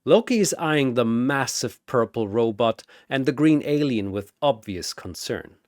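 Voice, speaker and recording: A man delivering dramatic scripted battle narration in a theatrical, deliberate way. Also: medium pitch, animated, darkish tone, no room sound, clean studio sound.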